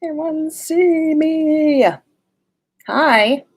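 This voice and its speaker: Slow-paced, playful woman livestreamer. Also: sits high. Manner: playful, sing-song at times; informal, swears casually. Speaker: livestream host. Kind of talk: casual livestream chat.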